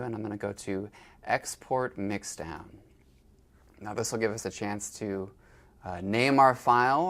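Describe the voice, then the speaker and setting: A man giving a casual, step-by-step equipment tutorial as an instructor, with informal, folksy phrasing. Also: medium pitch. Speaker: instructor demonstrating library equipment.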